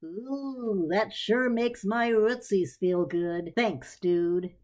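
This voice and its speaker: A woman's voice: mid-range voice, extremely slow, darkish tone. A woman voicing a bossy, blunt female aloe plant with a sassy, slangy manner in a storybook. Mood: pleased, chatty. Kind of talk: audio storybook character voice.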